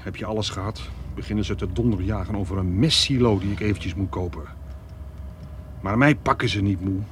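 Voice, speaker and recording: An actor with a Netherlands Dutch accent playing a caring but strained adult son, coaxing in a radio play. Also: male voice, fairly low pitch, fairly quick, noisy background, natural voice. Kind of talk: naturalistic radio drama dialogue.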